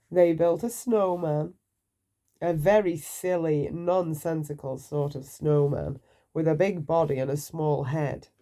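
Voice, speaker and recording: A woman's voice: fairly low pitch, mellow. A woman reading a children's bedtime story aloud, playful, putting questions to the listener.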